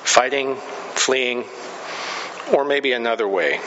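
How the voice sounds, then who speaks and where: A man's voice: medium pitch, slow, noisy background. A male pastor from the Pacific Northwest telling a story sermon, chatty and self-deprecating, laughing at his own stories. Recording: dull sound with long hall reverb.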